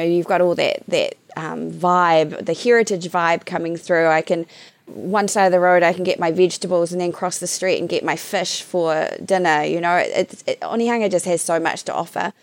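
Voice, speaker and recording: A woman's voice: on the low side, no echo. A middle-aged woman with a New Zealand accent, a local board member answering podcast interview questions in a chatty way with many fillers.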